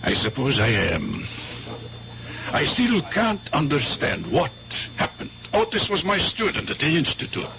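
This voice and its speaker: Casting: flustered, incredulous art professor for radio drama; animated delivery, muffled recording with heavy hiss and hum. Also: male voice, on the high side, measured pace. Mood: bitter disbelief. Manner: emphatic, theatrical, hesitant when flustered. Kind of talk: 1970s radio drama acting. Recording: muffled, noisy 1970s radio broadcast with hum.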